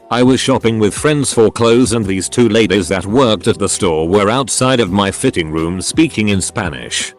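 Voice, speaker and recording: Low-voiced man reading online posts. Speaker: narrator. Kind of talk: reading Reddit posts aloud.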